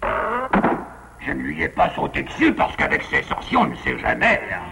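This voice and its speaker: A man voicing a scheming, bossy forest-animal villain in a French cartoon, sneering and theatrical with a gravelly voice. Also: mid-range voice, very animated, moderate pace, in a large hall, loud music behind the voice. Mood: taunting. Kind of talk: French-dubbed cartoon acting.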